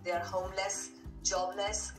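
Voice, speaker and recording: A woman's voice: mid-range voice, flat delivery, measured pace. A woman from Pakistan with a Pakistani English accent, chatting at length as an online English tutor, full of fillers. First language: not English. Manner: chatty, long rambling answers with many fillers. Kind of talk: casual conversation lesson over video call. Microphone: tablet video call. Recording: echoey distant video-call audio with music.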